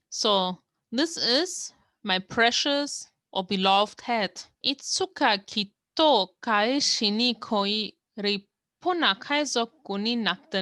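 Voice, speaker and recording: Bright-voiced woman explaining words slowly. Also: medium pitch, dry recording. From Germany. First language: German. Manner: slow, careful explanation of words. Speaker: language-learning channel host. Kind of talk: reading and translating manga line by line.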